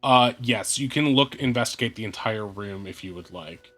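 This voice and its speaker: A man running an online tabletop game as game master, speaking in a measured way with many hesitant fillers. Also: fairly low pitch, a bit bright, faint music behind.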